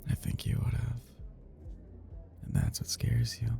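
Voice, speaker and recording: A man's voice: measured pace, mellow, soft background music, close to an everyday voice. A man playing a cocky, flirty best friend in an intimate romantic ASMR roleplay, murmuring teasingly and slipping into whispers.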